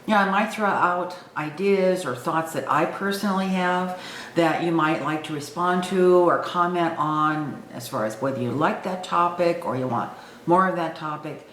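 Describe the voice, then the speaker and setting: A woman's voice: fairly low pitch, normal speed, a bit bright. A woman aged sixty-five talking informally and reflectively to her viewers, thinking aloud with some hesitation. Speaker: online video host. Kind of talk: reflective personal talk to camera. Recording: echoey room with faint background noise.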